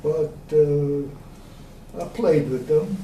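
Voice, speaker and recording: A man, recalling his life in an oral history interview, slow and hesitant with many pauses. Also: on the high side, dark tone. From Austria. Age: 90s. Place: room at a community center. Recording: echoey room, distant mic, faint hiss.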